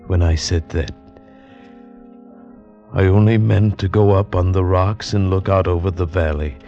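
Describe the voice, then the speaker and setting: A man's voice: deep voice, darkish tone. An actor playing an earnest, yearning young man in love, who also narrates the story in an old radio drama. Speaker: narrator and lead. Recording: lo-fi old radio recording with music.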